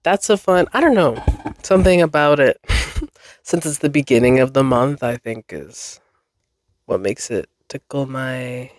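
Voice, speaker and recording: A podcast host recovering from a cold, sounding a bit nasal and congested, rambling chattily in a basement with frequent laughs. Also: male voice, medium pitch, animated, a little slow, no echo. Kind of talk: casual solo podcast chat. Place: basement room.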